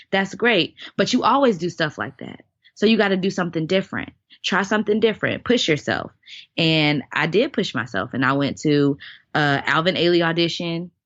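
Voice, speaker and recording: Casting: woman in her thirties, low voice, earnest storyteller, dry and dull sound. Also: a bit bright, dry recording. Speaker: guest, dancer and choreographer. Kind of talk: casual interview storytelling.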